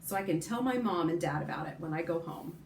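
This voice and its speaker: A woman's voice: fairly low pitch, expressive, quick pace, a little mellow, echoey room, a little hiss or hum. A woman voicing an eager, curious young boy in a read-aloud storybook, asking earnest questions.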